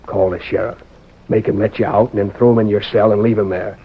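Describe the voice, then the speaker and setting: An actor playing a sly, mercenary crook in an old radio western, with an American accent and sardonic folksy slang. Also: male voice, on the low side, little pitch movement, brisk, breathy edge. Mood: bossy. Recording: thin, phone-like old radio broadcast.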